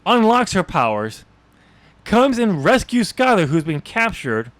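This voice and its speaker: A man's voice: high-pitched, very animated, measured pace, fairly bright tone, no echo. A man co-hosting a podcast, casually discussing a book in an informal, chuckling way. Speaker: podcast host.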